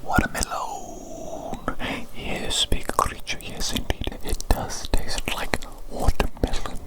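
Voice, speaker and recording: Woman as slow whispering ASMR evil doctor. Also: natural voice. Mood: soothing, playful. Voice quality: breathy unvoiced whisper. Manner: soothing, slow, repeats words and phrases. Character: playfully evil doctor gently examining a big creature patient. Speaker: ASMR performer. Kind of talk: whispered ASMR medical roleplay.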